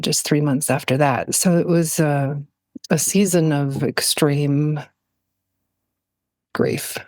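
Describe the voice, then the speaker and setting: A woman speaking as an interview guest in a reflective, personal way, halting thoughtfully as she searches for words. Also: sits low, darkish tone, no room sound, studio quality. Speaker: podcast guest, poet.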